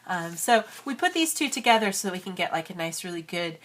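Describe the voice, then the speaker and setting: A woman's voice: on the low side, big pitch swings, quick pace, fairly bright tone. A woman in her thirties with a cold, chatting casually as she walks through an origami tutorial. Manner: chatty, friendly, many fillers. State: has a cold. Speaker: origami crafter and channel host.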